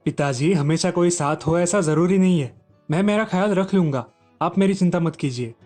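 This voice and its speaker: A man's voice: sits high, normal speed, a little mellow, faint music behind, close to an everyday voice. A man voicing a studious, self-assured young son in a children's story. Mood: reassuring.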